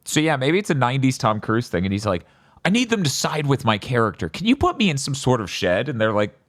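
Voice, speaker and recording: A man's voice: medium pitch, wildly swooping pitch, quick pace, fairly bright tone. A man hosting a casual podcast chat about games and films, joking and riffing with mock dialogue. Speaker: podcast host.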